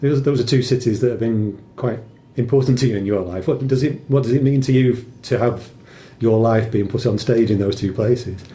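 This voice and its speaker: Very mellow, dark-voiced male interviewer. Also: normal speed, few pauses. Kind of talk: casual interview questions. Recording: roomy echo, background rumble.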